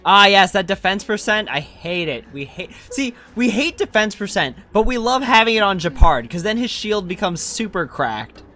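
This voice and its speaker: Casual game streamer with lively, swinging pitch. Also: normal speed, hardly any pauses, faint music behind. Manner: casual, slangy, thinking out loud. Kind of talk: casual gameplay commentary, reading chat.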